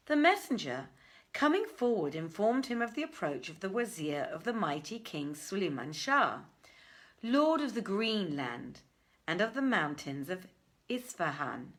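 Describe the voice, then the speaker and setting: A woman reading an archaic literary tale aloud in a theatrical, measured way with deliberate pauses. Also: medium pitch, a bit bright.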